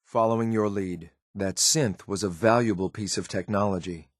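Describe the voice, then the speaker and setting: Man playing a clipped, low-voiced synth soldier. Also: moderate pace, no room sound, clean studio sound, natural voice. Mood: curt, businesslike. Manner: clipped, formal military delivery. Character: stern male synth soldier, loyal, clinical and coldly formal. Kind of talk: scripted video game companion voice lines.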